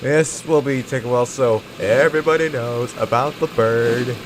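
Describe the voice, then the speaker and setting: A man's voice: medium pitch, normal speed, noisy background. A man giving casual gameplay commentary, reacting to the story with exclamations. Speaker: gamer playing through story quest. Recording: loud game music behind. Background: game music.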